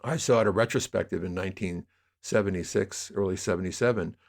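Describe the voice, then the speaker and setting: A man in his sixties talking as an interview guest, telling stories conversationally in a halting, emphatic way as he thinks aloud. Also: sits low, creaky. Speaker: podcast guest, audio drama producer.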